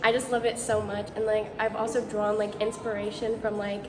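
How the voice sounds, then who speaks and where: A woman's voice: medium pitch, level tone, brisk, noisy background. Earnest teen girl in an echoey hall. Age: teens. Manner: earnest, with many fillers. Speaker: art student. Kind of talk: interview answer. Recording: echoey hall with loud ambience.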